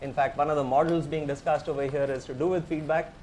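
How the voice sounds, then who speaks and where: A man's voice: on the high side, fairly even intonation, fast, darkish tone, slight background noise. A man with an Indian accent, a professor giving a technical tutorial, explaining step by step in a conversational way and inviting questions. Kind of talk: technical lecture.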